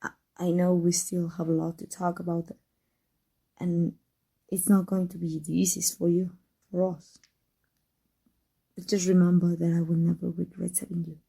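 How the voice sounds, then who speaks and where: A woman playing a guarded but tender villain in an intimate ASMR roleplay, speaking in a halting, emotional, breathy way. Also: lowish voice, level tone, dark tone, rough recording. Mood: soft, affectionate. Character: tender, guarded villain woman confessing love to her rival. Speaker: voice actor.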